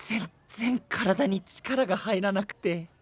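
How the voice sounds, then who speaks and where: A man's voice: sits high, slow pace, slightly rough, no echo, heavily acted. A man playing a boyish, artless, hearty young knight with a casual, guileless manner in an anime-style audio drama. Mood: drained.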